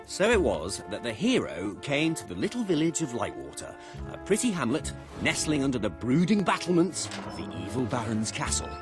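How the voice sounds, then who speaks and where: A pompous fantasy game master, super animated, loud music behind. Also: male voice, medium pitch, normal speed. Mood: grandiose. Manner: theatrical, grandiose. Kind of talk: video game cutscene acting.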